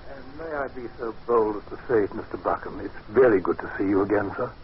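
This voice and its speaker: A man's voice: on the low side, fast talker, natural voice. Formal English butler played by a man, old radio. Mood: polite, uneasy. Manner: formal, fussy, hesitant. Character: Travers, deferential, uneasy English butler. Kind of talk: 1940s radio acting. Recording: dull noisy old radio recording.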